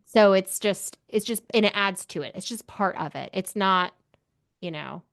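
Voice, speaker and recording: A woman's voice: mid-range voice, normal speed, vocal fry, dry recording. Woman in casual book chat, dry recording. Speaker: book club podcast co-host.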